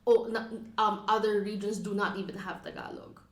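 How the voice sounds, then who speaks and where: A woman's voice: mid-range voice, flat delivery, moderate pace. Woman, podcast co-host, casual chat, mid-range voice, hesitant, restarts sentences, echoey room. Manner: hesitant, many fillers and restarts while explaining. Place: warehouse.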